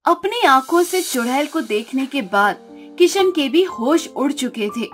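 A woman's voice: high-pitched, lively intonation, a little slow, soft background music. A woman narrating a scripted story as storyteller.

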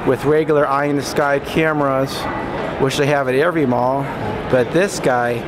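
Man, handheld mall vlog, rambling list-like delivery, loud mall din behind. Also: medium pitch, steady tone, moderate pace. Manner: rambling, repeating and listing words. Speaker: vlogger filming in a mall. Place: large indoor shopping mall. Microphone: handheld camera mic. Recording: loud mall background noise.

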